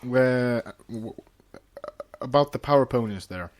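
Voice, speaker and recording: A man's voice: mid-range voice, slow pace, a little hiss or hum. A man chatting casually as a podcast co-host. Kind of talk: casual chat.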